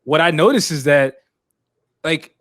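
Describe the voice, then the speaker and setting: A man's voice: fairly high pitch, lively intonation, unhurried, a bit bright, no echo, clean studio sound. A man in his thirties hosting a casual podcast, asking loose, filler-heavy questions. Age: 30s. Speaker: podcast host.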